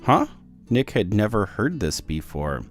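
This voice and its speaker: A man reading a children's story aloud as narrator, in an expressive, deliberate manner. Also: lowish voice, faint music behind. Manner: animated, deliberate rhyming delivery for children. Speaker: children's book read-aloud host.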